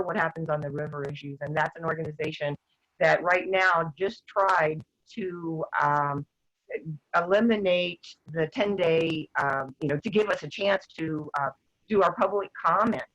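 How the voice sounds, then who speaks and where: An older woman talking earnestly as a guest, rambling with restarts, her voice going hoarse. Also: low-pitched, a bit bright. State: losing her voice, clearing her throat. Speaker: guest activist. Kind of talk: casual talk-show discussion. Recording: dull lo-fi sound.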